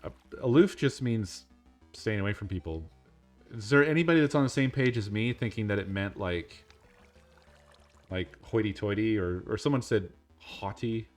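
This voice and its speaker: A man with a low, deep voice chats casually while he plays a game on stream. His delivery is flat and monotone, and he never rushes. Soft music plays quietly behind him. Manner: monotone, unhurried talk.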